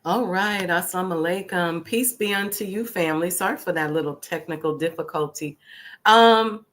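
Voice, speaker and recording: Woman host w a cold, deep-ish voice, drawn out, lots of ums. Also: fairly bright tone. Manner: slow, drawn-out, many ums. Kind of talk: casual livestream talk.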